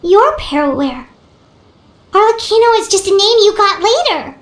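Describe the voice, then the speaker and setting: Tiny game fairy: extremely high, squeaky childlike voice, plucky, blunt and inquisitive, roomy echo. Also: female voice, big pitch swings, normal speed. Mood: curious, probing. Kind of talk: cartoonish video game character acting.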